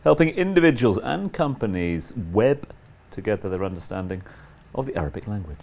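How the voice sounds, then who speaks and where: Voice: sits low, sing-song, brisk, gravelly, a little mellow. A TV co-host welcoming viewers and asking questions in a conversational way. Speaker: TV co-presenter. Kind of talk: TV studio interview.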